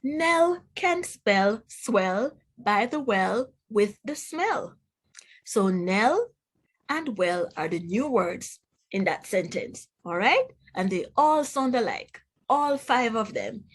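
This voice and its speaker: Slow, high-voiced Jamaican woman teaching reading. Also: sing-song, breathy edge. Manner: instructive, enunciates rhyming words, asks the listener questions. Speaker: teacher reading aloud to children. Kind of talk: children's read-aloud phonics lesson.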